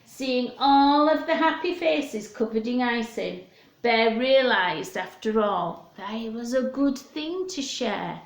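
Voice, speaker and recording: Slow-paced woman reading to young children. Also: fairly high pitch, echoey room. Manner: simple, expressive, addressing young listeners. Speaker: children's storyteller. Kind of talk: read-aloud storytime for young children.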